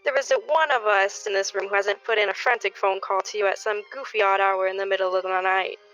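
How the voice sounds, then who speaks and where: A woman acting in a radio play as a sentimental middle-aged neighbor, speaking in a measured, folksy speechmaking way. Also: medium pitch, fairly even intonation, fairly bright tone, soft background music, natural voice. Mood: affectionate, sentimental. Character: sentimental middle-aged neighbor giving an affectionate party toast. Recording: dull, lo-fi recording.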